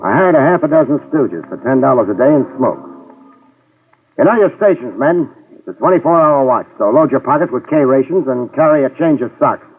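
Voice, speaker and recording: An actor playing a wisecracking New York private detective who narrates a 1950s radio drama. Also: male voice, medium pitch, expressive, fairly quick, slightly rough, distant mic. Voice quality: dry, wisecracking. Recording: tinny old radio broadcast with music.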